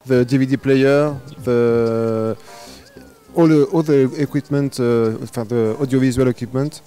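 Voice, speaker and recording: A man presenting his video work in very halting phrases, searching for words. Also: medium pitch, steady tone, over loud music. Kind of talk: spoken presentation.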